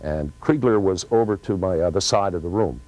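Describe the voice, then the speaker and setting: A man's voice: sits low, fairly quick, a little mellow, low-quality recording. A middle-aged man telling a story to camera in a dramatic way, acting out remembered dialogue. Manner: dramatic, acts out quoted dialogue. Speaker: former radio program director recalling a broadcast. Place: TV studio stage.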